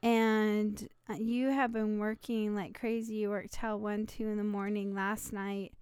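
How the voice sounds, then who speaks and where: Chatty woman with a slight rasp. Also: medium pitch, level tone, a little slow, darkish tone, no echo. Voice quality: slightly raspy. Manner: chatty, laughs often, rambling restarts. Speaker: podcast co-host. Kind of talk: casual chat.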